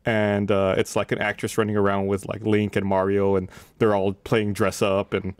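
A man's voice: fairly low pitch, monotone, moderate pace, clear, resonant tone, dry recording. Casual man hosting a podcast, dry studio sound. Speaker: podcast host. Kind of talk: casual panel discussion. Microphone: close studio microphone on desk.

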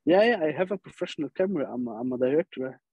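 Egyptian man, soft and halting on a call. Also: on the high side, lively intonation, slightly rough, no echo, dull audio. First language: Arabic. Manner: halting, restarts words, soft-spoken. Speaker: film director. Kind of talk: video call interview. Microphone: video call.

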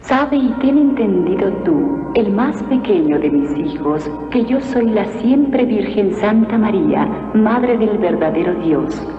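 An actress playing a gentle, majestic heavenly mother in an animated film, solemn, tender and measured. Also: medium pitch, soft background music, lightly acted. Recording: reverberant dull old film soundtrack.

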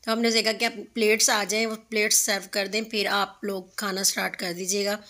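A woman's voice: mid-range voice, flat delivery, fairly quick, pushed and bright. A woman in her thirties narrating a family vlog, chatty and explaining at length with many fillers, recorded on a phone at an outdoor restaurant at night. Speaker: family vlogger.

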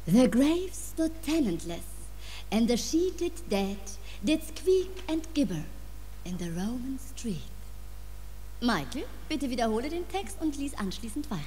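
Casting: proper schoolmistress for audio drama, high animated voice, faint noise behind. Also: female voice, measured pace. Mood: didactic. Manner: declaiming, schoolmistress-like. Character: proper, instructing high school English teacher, adult woman. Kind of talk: radio play acting.

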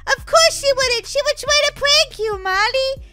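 A woman's voice: very high-pitched, a little slow, sharp tone, faint music behind, full character voice. A woman voicing a sassy, sceptical little girl with a childlike, chiding manner in a gaming video. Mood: scolding.

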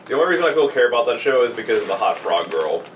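A man in his twenties with a medium-pitched, very lively voice drops slangy jokes into casual banter. He sounds far from the mic, with slight room noise. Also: racing. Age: 20s. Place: small room, group on couches. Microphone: far camera.

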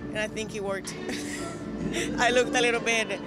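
An upbeat young woman with a Colombian accent talks casually into a handheld microphone. She is giving an interview, with music clearly playing behind her. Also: fairly high pitch, unhurried. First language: Spanish. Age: young adult. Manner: upbeat, energetic. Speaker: bikini competitor interviewee. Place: large exhibition hall, indoors.

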